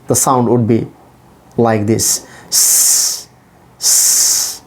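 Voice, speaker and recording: A man teaching English pronunciation in a deliberate, repetitive instructional way, sounding out individual sounds. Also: mid-range voice, level tone. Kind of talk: instructional pronunciation lesson to camera or screen.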